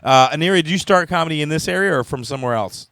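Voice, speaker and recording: A man's voice: on the high side, rapid-fire, a bit bright, dry recording. A man hosting a podcast, asking a guest playful, teasing questions and laughing often in a casual studio chat. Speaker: podcast host.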